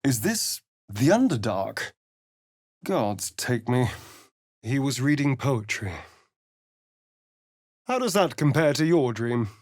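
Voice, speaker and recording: An actor voicing a sly, vain, theatrical vampire spawn rogue in a video game, with an arch, flirtatious drawl. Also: male voice, mid-range voice, big pitch swings, takes it slowly, pauses often, darkish tone, no echo, studio quality. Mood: wry, conversational.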